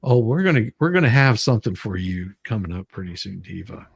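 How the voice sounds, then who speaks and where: A man's voice: fairly low pitch, big pitch swings, a little fast, gravelly, slightly rough. A man hosting a casual livestream, chatting in an informal, rambling way. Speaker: livestream host.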